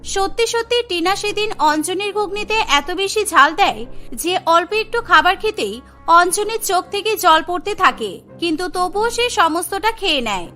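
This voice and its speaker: Very high-pitched woman narrating a cartoon story. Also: lively intonation, normal speed, sharp tone, faint music behind.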